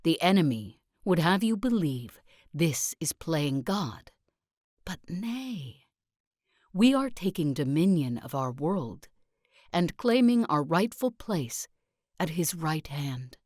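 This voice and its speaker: A low-voiced female sect leader for a strategy game. She delivers her lines slowly and solemnly, like a preacher who is wholly sure of her creed. The sound is dry and close. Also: very animated, a little mellow, no room sound, exaggerated voice. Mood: fervent preaching. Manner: solemn, deliberate, sermon-like oratory. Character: zealous self-help guru and sect leader, preaching with calm conviction. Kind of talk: scripted video game character monologue.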